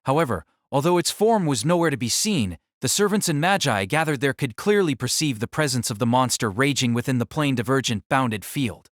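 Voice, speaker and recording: A man's voice: medium pitch, animated, a little fast, few pauses, no echo, studio quality. A man reading scripted novel narration for an audio drama.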